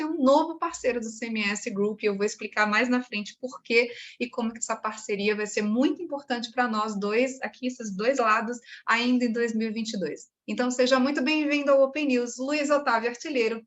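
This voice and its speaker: A woman's voice: fairly high pitch, fairly bright tone. A woman with a Brazilian Portuguese accent hosting a podcast, chatty and enthusiastic. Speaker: podcast host.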